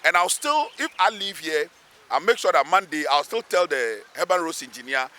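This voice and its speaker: A man's voice: on the high side, animated, normal speed, sharp tone. A man with a Ghanaian accent speaking emphatically as a local assemblyman in an outdoor interview, repeating phrases for weight. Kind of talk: spoken interview, pleading advocacy. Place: outdoors beside a road underpass.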